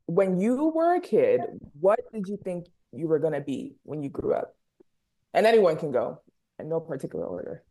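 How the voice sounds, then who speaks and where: A woman's voice: low voice, very animated, moderate pace, dark tone, poor audio. A woman in her twenties hosting a casual podcast over a video call, playful and teasing with her guests. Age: 20s. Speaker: podcast host. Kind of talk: casual chat.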